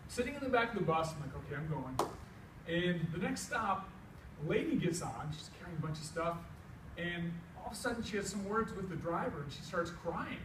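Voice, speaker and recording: Echoey room, middle-aged man, American accent, high-pitched for a man, folksy comic storyteller, animated dialogue, faint background noise. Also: a little fast, recorded from a distance. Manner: animated, folksy delivery acting out both sides of dialogue. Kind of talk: live comic tall-tale storytelling.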